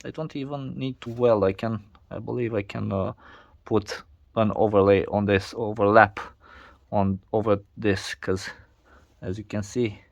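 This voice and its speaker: Non-native English man, slow and low-pitched, halting workshop vlog narration, shot on a camera mic. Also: fairly even intonation, gravelly, highs cut off. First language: not English. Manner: halting, many restarts and fillers. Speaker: DIY video maker.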